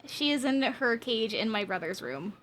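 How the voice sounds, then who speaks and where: A woman's voice: fairly high pitch, expressive, moderate pace, a bit bright, slight background noise. A woman in her twenties chatting casually with viewers on a game livestream, with a chatty, bubbly, giggly manner. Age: 20s. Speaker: game streamer.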